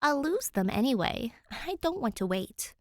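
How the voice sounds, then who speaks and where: A woman's voice: sits high, big pitch swings, normal speed, a little mellow, no echo, studio quality, pitched above the performer's own voice. A woman voicing a stubborn young girl adventurer in a story, insistent and casually defiant. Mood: breezy, defiant.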